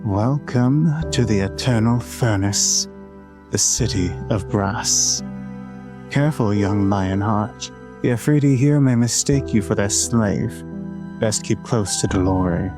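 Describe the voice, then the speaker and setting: A man's voice: fairly low pitch, slow pace. Stern mage professor acted by a man, music behind. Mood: formal, instructive. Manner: formal, commanding, articulate. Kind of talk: fantasy audio drama acting.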